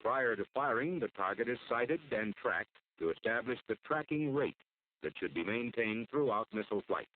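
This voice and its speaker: An American man delivers formal, measured scripted narration for an instructional military training film. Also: mid-range voice, expressive. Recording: muffled phone-like old film soundtrack.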